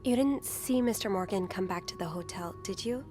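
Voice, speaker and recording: An actress playing an earnest, uneasy young woman working as a hotel maid in a video game, speaking softly and a little hesitantly. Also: medium pitch, normal speed, music in the background, natural voice. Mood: worried. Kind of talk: video game dialogue acting.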